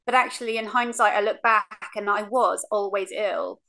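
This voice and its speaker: A woman's voice: medium pitch, fairly even intonation, normal speed, creaky, sharp tone. Woman frankly recounting her illness. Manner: frank, many ums, acts out remembered lines. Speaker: cancer patient telling her story. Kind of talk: casual recounting, remote interview. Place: living room, seated on a sofa. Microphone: video call webcam.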